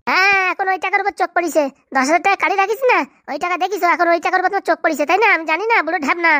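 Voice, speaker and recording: A woman's voice: very high-pitched, racing, sharp tone, no echo, studio quality. A woman playing a bitter, nagging village wife in a comedy cartoon, scolding and complaining sarcastically. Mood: sarcastic scolding.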